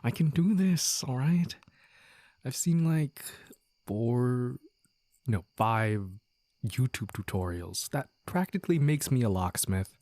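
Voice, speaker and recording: A man playing a playful, teasing young man in an intimate romantic audio roleplay, with halting banter and mock-dramatic asides. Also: medium pitch, expressive, darkish tone, no echo. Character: playful, teasing young man sneaking out with his childhood sweetheart.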